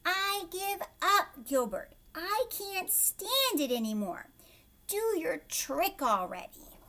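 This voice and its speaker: A woman's voice: extremely high pitch, sing-song, slow, sharp tone, pitched above the performer's own voice, full character voice. A woman voicing a wary, suspicious schoolboy in a children's storybook, questioning and exclaiming. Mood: exasperated. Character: nervy, suspicious schoolboy who expects a trick.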